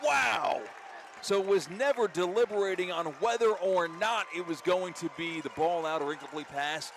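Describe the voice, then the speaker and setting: A man doing live radio play-by-play of a football game, an excitable commentator who lifts his voice for big plays. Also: high-pitched, normal speed, a faint crowd. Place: outdoor high school football stadium. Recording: faint outdoor stadium ambience noise. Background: faint outdoor ambience.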